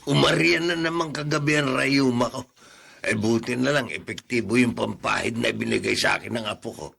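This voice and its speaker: An actor playing a teasing, kindly old man in a radio drama, with a gravelly, raspy voice. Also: mid-range voice, a little fast, a bit bright, a little hiss or hum. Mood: teasing, reassuring. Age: older. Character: teasing, kindly old male friend with rheumatism. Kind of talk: Filipino radio drama acting.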